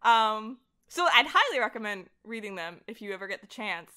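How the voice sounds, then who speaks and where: A woman's voice: medium pitch, big pitch swings, moderate pace, pushed and bright. A woman talking as a podcast guest in an informal, enthusiastic conversation. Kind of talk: casual chat.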